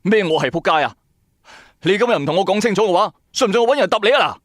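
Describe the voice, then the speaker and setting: A man narrating a radio drama in the first person, colloquial, wry and expressive. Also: high-pitched, very fast, no room sound, studio quality. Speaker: storyteller, narrator.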